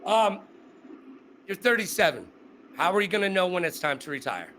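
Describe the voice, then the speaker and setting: High, bright-voiced upbeat male interviewer. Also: very animated, normal speed. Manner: upbeat, joking, chatty. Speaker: interview host. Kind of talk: casual interview. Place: Las Vegas.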